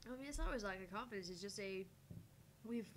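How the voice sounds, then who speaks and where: A woman's voice: expressive, quick pace. Frank woman chatting on a slightly noisy stream. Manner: frank, self-deprecating, conversational. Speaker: livestream co-host of a gaming channel. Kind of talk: casual livestream chat. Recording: noisy mic with low rumble.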